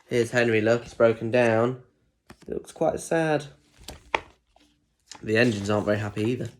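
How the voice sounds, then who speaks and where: A man's voice: mid-range voice, moderate pace. A man reading a children's story aloud expressively, with chatty asides and questions to young listeners about the pictures.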